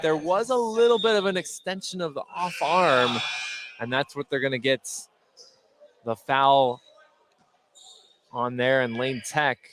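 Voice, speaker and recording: A man with a fairly high voice calls a basketball game play by play for radio. Faint gym noise and a bouncing ball sit behind him. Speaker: play-by-play announcer. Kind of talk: live basketball radio commentary. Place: indoor high school gym.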